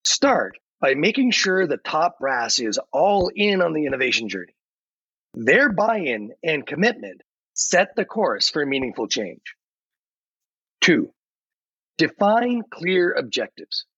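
A man's voice: a little high, very animated, slow pace, a bit bright, husky, dry recording, full character voice. A man voicing an upbeat, folksy AI chatbot advisor in scripted explanatory narration, theatrical and emphatic, on a podcast. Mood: upbeat, instructive. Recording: dull, lo-fi but dry.

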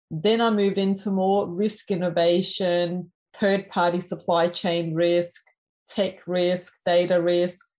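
A woman in her forties from Denmark, a native Danish speaker, calmly walking through her career in numbered steps as a podcast guest. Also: on the low side. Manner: methodical, lays points out in numbered steps. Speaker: podcast guest, cybersecurity professional. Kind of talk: conversational interview. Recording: slightly dull lo-fi audio.

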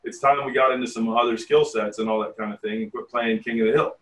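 A man in his fifties leads a group meeting as a tai chi teacher. He talks in a loose, rambling, blunt way. His voice is middle-pitched and sounds a little distant from the mic. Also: fairly even intonation, quick pace, fairly bright tone. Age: 50s. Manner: rambling, frank, self-deprecating asides. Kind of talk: casual group meeting talk.

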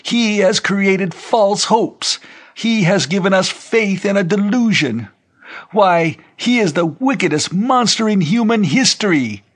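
A man's voice: high-pitched, animated, measured pace, fairly bright tone, pitched above the performer's own voice, full character voice. A man voicing a scornful, fanatical old preacher in an audiobook, denouncing others melodramatically. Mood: scornful outrage. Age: 70s. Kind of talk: audiobook character voice.

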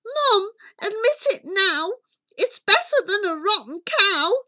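An excitable young fairy-tale boy , as a woman storyteller puts him on. He is cheeky and breathless, pleading in a very high, bright voice that jumps about. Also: slow pace, full character voice. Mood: insistent.

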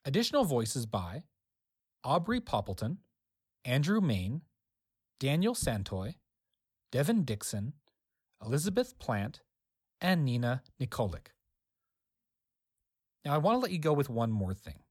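A man's voice: mid-range voice, very animated, a little slow, pauses often, darkish tone, slightly rough, no room sound, studio quality. A man presenting a scripted, friendly outro with credits for an audio drama. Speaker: presenter reading the closing credits.

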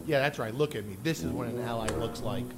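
A man's voice: mid-range voice, fast talker, lightly acted. A man playing a brash, defensive, whiny young slacker in a comedic audio drama. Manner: defensive, swearing. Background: music.

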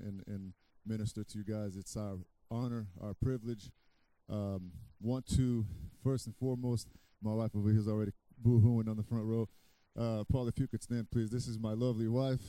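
A middle-aged man from Brazil, a guest pastor preaching a sermon, halting with restarts, then loud and emphatic. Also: fairly low pitch, no echo.

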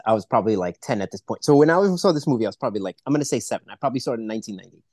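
A man in his forties co-hosting a casual movie podcast, joking and animated. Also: lowish voice, rapid-fire, no echo, studio quality. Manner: joking, animated, acts out quotes. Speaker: podcast co-host. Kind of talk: casual movie-review chat.

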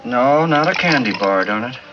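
An actor playing a tired, meek husband who pleads and sulks, in 1950s film noir style. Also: male voice, mid-range voice, animated, normal speed, close to an everyday voice. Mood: plaintive. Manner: plaintive, pleading, wounded. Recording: dull old film soundtrack with score. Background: film music.

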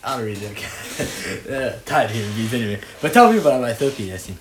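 A young man from Somalia, hosting a casual podcast chat with animated, laughing banter. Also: medium pitch, quick pace. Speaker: podcast host. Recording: echoey room with faint noise.

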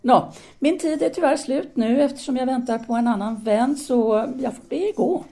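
A woman's voice: high voice, dark tone. A woman playing a composed, businesslike teacher and writer in an audio drama, speaking formally and decisively.

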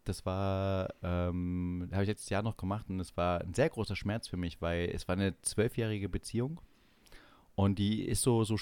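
A man's voice: low voice, fairly even intonation, moderate pace, a little mellow, smooth, no echo. A man co-hosting a podcast in casual, joking chat with an ironic, mock-earnest manner. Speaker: podcast co-host.

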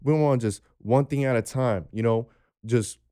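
A man's voice: medium pitch, normal speed, warm tone. A man in his twenties, a podcast guest, speaking earnestly and restarting his phrases as he thinks. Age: 20s. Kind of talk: casual podcast chat.

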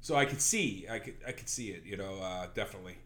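A man's voice: low voice, lively intonation, quick pace, sharp tone, echoey room. A man co-hosting a casual film podcast, hesitant with fillers and blunt jokes. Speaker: podcast co-host. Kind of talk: casual movie-review chat.

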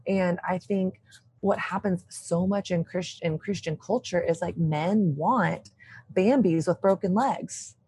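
A woman in her thirties with a husky, slightly low voice, talking fast and animated as a podcast guest. She fills her sentences with "like" and breaks into quick laughs. Her delivery is loud and opinionated. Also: no echo. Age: 30s. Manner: animated, frequent "like", bursts of laughter. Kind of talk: casual chat.

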